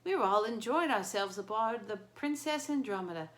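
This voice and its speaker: A woman voicing an entranced male crew member who speaks in a flat, mechanical way. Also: medium pitch, moderate pace, pitched above the performer's own voice, natural voice. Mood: blank.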